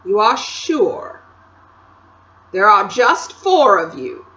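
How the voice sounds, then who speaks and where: A woman voicing a cold, commanding queen who turns falsely sweet, asking slow, coaxing questions in a storybook reading. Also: medium pitch, wildly swooping pitch, sharp tone, dull audio, full character voice. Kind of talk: storybook read-aloud.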